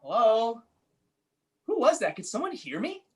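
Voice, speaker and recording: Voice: medium pitch, wildly swooping pitch, measured pace. A performer playing a baffled, kindly servant in a comic fairytale audio drama, speaking in a hesitant, stammering way. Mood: bewildered. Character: baffled, kindly servant who hears animals' thoughts.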